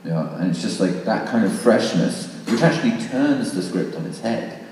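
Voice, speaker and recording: A man's voice: lowish voice, animated, moderate pace, darkish tone. A man speaking informally and thoughtfully, explaining his views in a panel discussion. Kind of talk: spoken panel commentary, informal. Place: large reverberant room at a public discussion. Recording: very echoey hall, distant mic, faint noise.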